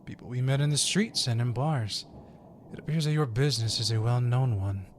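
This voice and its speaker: A man playing a composed undercover agent posing as a job applicant in an audio roleplay, polite and measured. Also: medium pitch, a little hiss or hum, lightly acted.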